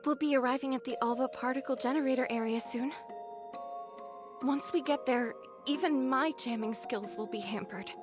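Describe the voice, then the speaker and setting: A woman's voice: high-pitched, normal speed, over music, lightly acted. An actress playing a friendly, level-headed female soldier in an anime game, with a casual, easygoing manner. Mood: calm, reassuring. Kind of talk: scripted anime game voice acting.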